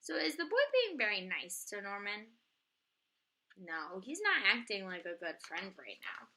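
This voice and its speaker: A woman reading a picture book aloud to young children like a teacher, expressively, pausing to ask simple questions. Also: medium pitch, huge pitch swings, pushed and bright.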